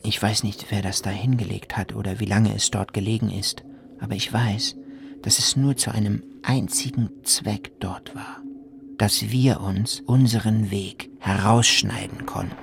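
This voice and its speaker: Eindringlicher Flutüberlebender, Geräuschkulisse. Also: male voice, lowish voice, steady tone, measured pace, creaky, noisy background. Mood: agitated, insistent. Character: married man recounting a flood escape, urgent and determined. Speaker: radio drama actor. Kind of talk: dramatic first-person survivor monologue.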